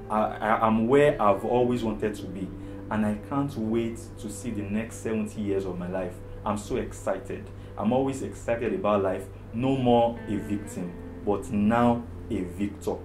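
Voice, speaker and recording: A low-pitched man from Africa speaks in a loud, strong voice, earnest and emphatic as he gives his own testimony. His voice rings in a roomy space while guitar music plays clearly underneath. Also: measured pace. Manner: earnest, emphatic, repeats phrases for stress. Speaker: problem management therapist. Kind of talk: personal testimony, motivational.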